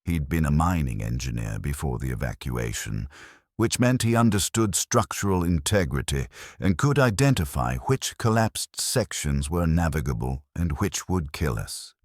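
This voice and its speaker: A man narrating a scripted first-person survival story in a grave, measured manner. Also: very deep voice, animated, dry recording, studio quality.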